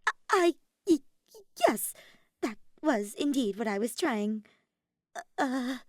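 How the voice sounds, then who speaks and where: A woman's voice: fairly high pitch, lively intonation, takes it slowly, no echo, studio quality, voice pushed up. A woman voicing a panicky, lovestruck young actress in a story, with stammering and dramatic outbursts. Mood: embarrassed.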